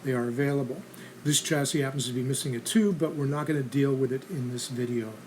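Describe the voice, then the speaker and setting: A man's voice: medium pitch, fairly even intonation, fast, a little mellow. A man giving casual, practical how-to narration as the host of a restoration channel, in a plain instructional manner. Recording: clear background noise and ambience.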